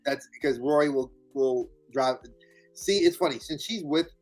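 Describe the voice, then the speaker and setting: A man with a New York accent hosting a live chat, animated and friendly. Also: medium pitch, normal speed, faint music behind, low-quality recording. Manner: animated, friendly, says dude a lot. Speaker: host.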